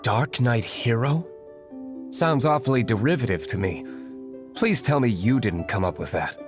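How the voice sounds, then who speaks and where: A man's voice: mid-range voice, expressive, normal speed, close to an everyday voice. A man playing an aloof, refined vigilante in a video game, formal, dry and disdainful. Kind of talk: video game character acting. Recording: plucked harp music behind the voice.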